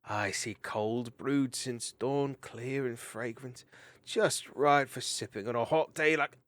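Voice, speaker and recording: A man's voice: medium pitch, takes it slowly, a bit bright, no room sound. A man voicing a teasing, easygoing young male mouse warrior. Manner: teasing and jovial.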